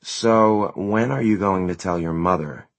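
A man's voice: sits low, unhurried, no room sound. A man voicing an irritable, blunt teenage girl in an audiobook, curt and scornful.

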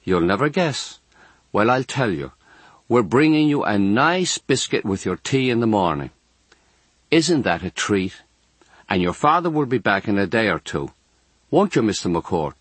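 A man voicing a brisk Irish hospital nun in a storybook reading, admonishing and emphatic. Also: lowish voice, sing-song, husky, no echo, heavily acted. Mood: briskly coaxing.

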